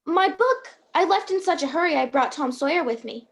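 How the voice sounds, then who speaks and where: A woman's voice: high voice, lively intonation, brisk, background noise. A woman playing a kindly, chatty, bumbling apprentice guardian angel in a radio play. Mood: chatty, flustered. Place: at home on a video call.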